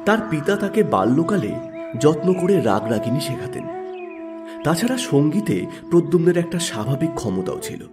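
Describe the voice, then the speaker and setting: A man's voice: on the high side, sing-song, normal speed, music in the background. A man narrating a literary story in a scripted audiobook style.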